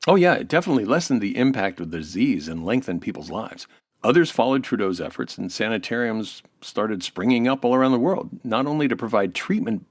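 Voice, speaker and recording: A man's voice: medium pitch, no echo, clean studio sound. An American man as an interview guest, giving an affable, informative explanation in a semi-scripted style.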